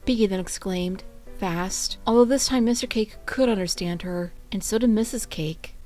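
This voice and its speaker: A woman reading a fan fiction story aloud as an expressive narrator. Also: medium pitch.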